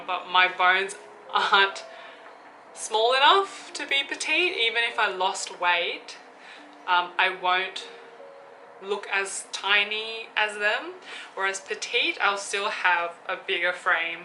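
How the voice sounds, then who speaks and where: A woman's voice: medium pitch, slow pace, pushed and bright, slight background noise, poor audio. A woman, chatting casually through a Q&A vlog and thinking aloud with fillers. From Australia. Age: 20s. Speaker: YouTuber. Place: room with an open window. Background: background music.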